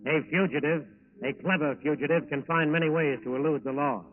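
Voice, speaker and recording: High-pitched, emphatic American male radio narrator. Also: a little fast. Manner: emphatic, repeating phrases. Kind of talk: dramatic scripted 1940s radio crime narration. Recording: tinny 1940s radio recording with faint music.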